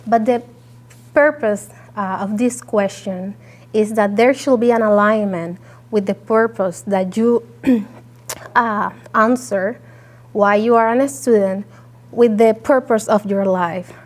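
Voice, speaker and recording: A woman's voice: mid-range voice, takes it slowly. A woman from Puerto Rico, a native Spanish speaker with a Puerto Rican Spanish accent, giving an earnest talk with frequent fillers. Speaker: guest speaker. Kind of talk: presentation talk.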